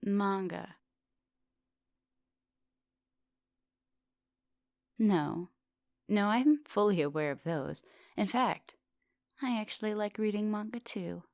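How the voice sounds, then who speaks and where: Low, creaky, flirtatious vampire professor played by a woman. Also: no echo. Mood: affectionate, curious. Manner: teasing, formal wording, soft dominant, often dropping into whispers. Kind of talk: intimate ASMR roleplay.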